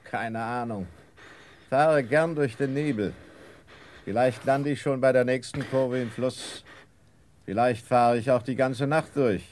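An actor playing a reckless, secretive stranger in a radio drama, talking in an offhand way. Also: male voice, mid-range voice, fairly even intonation, measured pace, darkish tone, lightly acted. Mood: careless. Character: reckless, evasive stranger driving through fog.

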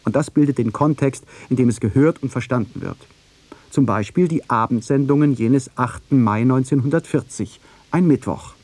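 A man's voice: medium pitch, moderate pace, darkish tone, no room sound. A man reading a scripted radio documentary in a sober, precise manner. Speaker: radio feature narrator.

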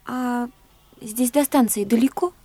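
High-pitched, anxious, earnest woman. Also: a little slow, dark tone, dry recording. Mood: reserved, uneasy. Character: anxious, devoted woman, uneasy guest among people she despises. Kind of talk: radio play acting.

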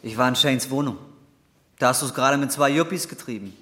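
A man's voice: mid-range voice, brisk, fairly bright tone, no echo, lightly acted. An actor in a radio drama playing a desperate, bitter drug courier in his twenties, coarse and weary. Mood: bitter, hurt. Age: 20s. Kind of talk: radio drama acting and first-person narration.

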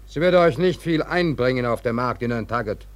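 An actor playing a principled, sober ship's mate in a German radio drama, speaking in a measured, firm, reasoning way. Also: male voice, medium pitch, lively intonation, natural voice. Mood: firm, restrained. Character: sober, principled ship's mate who defies his captain.

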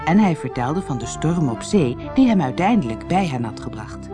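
A storyteller reading a scripted children's fairy tale in an expressive, dramatic way. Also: medium pitch, moderate pace, music in the background. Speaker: storyteller, audiobook narrator.

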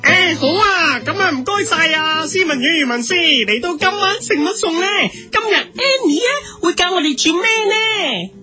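A woman's voice: extremely high pitch, lively intonation, moderate pace, few pauses, music in the background. A woman voicing a perky, easily impressed TV co-host in a comedy parody, gushing in Hong Kong Cantonese. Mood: gushing, amazed. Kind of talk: parody TV cookery show hosting.

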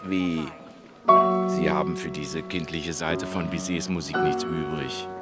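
An actor playing a coaxing, affected salon pianist with artistic pretensions in a radio play. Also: male voice, a bass voice, fairly even intonation, measured pace, over loud music. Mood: coaxing, enraptured.

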